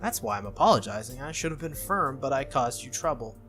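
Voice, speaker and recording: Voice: medium pitch, very animated, brisk, a bit bright, soft background music. A game reader voicing an earnest, easily flustered teenage schoolboy from an anime visual novel, apologetic and exclaiming.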